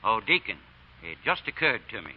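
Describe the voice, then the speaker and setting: A man playing a gruff, brusque small-town sheriff in a radio drama. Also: fairly low pitch, big pitch swings, fairly quick. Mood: confiding. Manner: brusque, authoritative. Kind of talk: 1940s radio acting. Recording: dull old radio broadcast recording.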